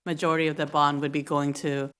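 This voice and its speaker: Low-voiced woman on a school board. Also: flat delivery, quick pace, a bit bright, clean tone. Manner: careful, hesitant, many fillers. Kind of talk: board meeting discussion. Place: civic center meeting hall.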